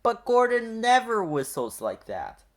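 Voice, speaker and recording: A man's voice: very high voice, huge pitch swings, measured pace, pitched above the performer's own voice, heavily acted. A man voicing a kind, determined, helpful steam engine with an earnest, friendly manner in a children's storybook.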